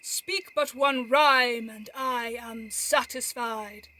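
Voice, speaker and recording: An actress playing a mocking, bawdy, theatrical young friend in an amateur Shakespeare audio drama, recorded at home on a phone. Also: female voice, a little high, slow, pushed and bright, dry recording. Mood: mocking, teasing.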